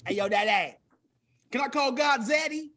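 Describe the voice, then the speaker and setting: A man's voice: extremely high pitch, normal speed, bright, forceful tone. A man chatting casually as a comedian guest on a podcast, playful and joking, using plenty of slang.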